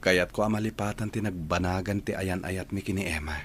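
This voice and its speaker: An actor playing a gentle, lovelorn young man in a radio drama, with a Filipino accent. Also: sits low, fairly quick, a little hiss or hum, natural voice. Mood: resigned. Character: Alfred, sad, gentle young man giving up love. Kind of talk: Ilocano radio drama acting.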